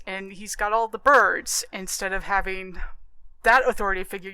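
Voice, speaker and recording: A woman co-hosting a podcast, talking casually in a measured, analytical way. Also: medium pitch, sharp tone, no echo, rough recording. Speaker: podcast co-host.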